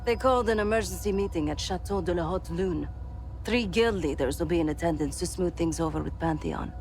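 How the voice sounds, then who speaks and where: An actress playing a cool, vengeful thief leading a heist in a video game, terse, commanding and self-assured. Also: female voice, fairly low pitch, a little fast, a little mellow, close to an everyday voice. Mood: cool, determined. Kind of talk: video game cutscene acting. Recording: noisy vehicle and ambient effects behind.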